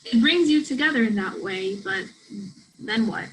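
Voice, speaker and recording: Woman acting guarded young student, higher voice. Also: animated, normal speed, a little hiss or hum, rough recording. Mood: hesitant. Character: guarded, self-doubting female international college student, soccer striker. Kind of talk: virtual staged play reading. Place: remote virtual reading over video call.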